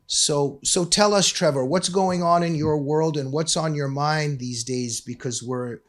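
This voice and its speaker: A man hosting a talk show, speaking emphatically in deliberate, drawn-out phrases. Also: fairly high pitch. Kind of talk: scripted intro and interview talk.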